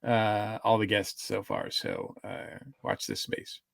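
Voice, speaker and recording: A man co-hosting a casual fan podcast, speaking in a halting way with frequent restarts. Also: lowish voice, huge pitch swings, vocal fry, a bit bright, no room sound. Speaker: podcast co-host.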